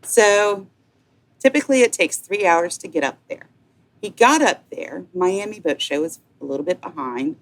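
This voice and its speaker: A woman's voice: lowish voice, animated, moderate pace. A woman speaking as a guest yacht sales representative, chatting casually and enthusiastically as she tells a story. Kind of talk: casual chat, telling an anecdote.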